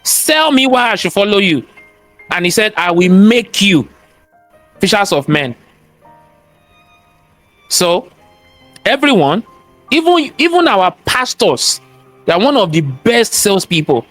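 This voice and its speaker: A man with a strong Nigerian accent and a high voice speaks as an interview guest. He talks in a motivational, sermon-like way, repeating key phrases for emphasis and throwing out rhetorical questions. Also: big pitch swings, unhurried, pauses often, fairly bright tone, no echo, soft background music. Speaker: guest, cybersecurity expert and entrepreneur. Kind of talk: interview conversation, motivational talk.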